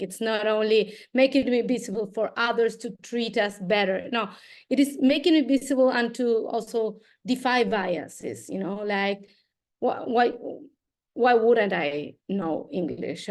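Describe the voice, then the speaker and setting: A woman's voice: fairly high pitch, darkish tone. A woman from Argentina with an Argentine Spanish accent and Spanish as her first language, giving an earnest comment as a doctoral student, searching for words. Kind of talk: audience comment.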